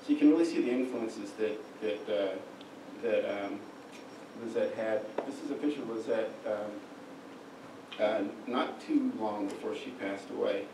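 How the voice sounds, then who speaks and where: A man with an Oklahoma accent giving a slide lecture in a darkened hall, halting with many fillers and restarts. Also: lowish voice, vocal fry. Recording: echoey room from far off, noisy.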